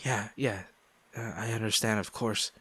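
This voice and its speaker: A man acting a guilt-ridden ex-boyfriend pleading for forgiveness in an intimate audio roleplay, halting and emotional. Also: on the low side, steady tone, pushed and bright, husky. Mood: tentative, subdued. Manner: halting, emotional, sighing, repeats himself.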